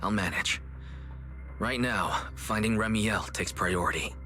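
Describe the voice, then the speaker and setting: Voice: low-pitched, measured pace, pushed and bright, faint music behind. An actor playing a serious, determined investigator in a video game, earnest and analytical. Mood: apologetic, resolute.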